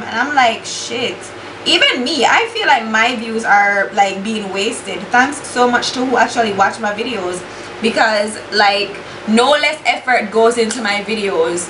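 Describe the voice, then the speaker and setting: Young Jamaican woman vlogger, blunt and exasperated, higher voice, echoey room with steady hiss. Casual makeup chat. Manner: blunt and exasperated, swears freely, slips into patois. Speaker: beauty YouTuber.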